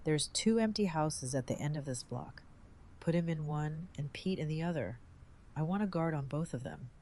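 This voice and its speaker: Audio drama role: shaken female leader played by a woman, hollow, quiet authority, audible background ambience. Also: deep voice, expressive, normal speed. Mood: numb, commanding. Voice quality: hollow, subdued. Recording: noticeable background ambience and noise.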